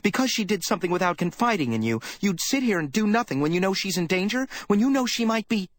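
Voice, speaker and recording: A man's voice: very animated, fast. A man reading a story, voicing an anxious young woman secretary who pleads and scolds. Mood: angry scolding. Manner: raised pitch, pleading and scolding.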